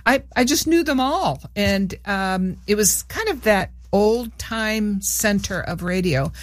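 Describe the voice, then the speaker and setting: A woman's voice: mid-range voice, expressive, slow pace, dry recording. Older woman reminiscing, dry studio sound. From Peru. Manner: chatty, laughing, trailing restarts. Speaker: guest. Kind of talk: casual reminiscing chat.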